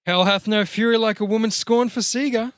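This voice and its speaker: A man's voice: high-pitched, fairly even intonation, brisk, a bit bright, clear, resonant tone, no room sound, studio quality, pitched above the performer's own voice. A man playing a crude, sarcastic slacker comic geek in an exaggerated comedy script read. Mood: mock-grand sarcasm.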